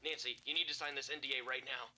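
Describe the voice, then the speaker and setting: Actor as urgent, fast-talking park ranger man. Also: mid-range voice, fairly even intonation, bright, forceful tone, poor audio. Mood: urgent, pleading. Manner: urgent, commanding. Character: park ranger man, urgent, stern, protective, secretly fearful. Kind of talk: found-footage horror acting.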